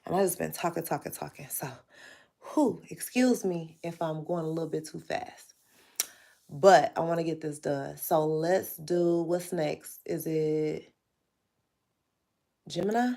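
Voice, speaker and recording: Gravelly, stuffy-nosed woman reading tarot. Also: low voice, warm tone. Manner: chatty, addresses viewers directly. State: stuffy, nasal from sinus trouble. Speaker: tarot reader hosting a livestream. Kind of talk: casual live tarot reading.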